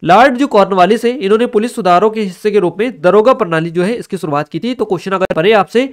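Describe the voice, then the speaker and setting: A man's voice: sits high, fast talker. A man giving an explanatory exam-review lecture as a teacher, instructive and repeating key points for emphasis.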